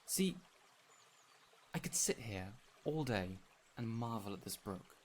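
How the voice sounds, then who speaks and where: Male actor as whimsical fey man, swooping animated pitch, courteous, eager, flowery theatrical phrasing, audio roleplay, birdsong and forest ambience behind. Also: slow, a little hiss or hum. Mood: wistful, gentle. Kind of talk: immersive audio roleplay addressed to the listener.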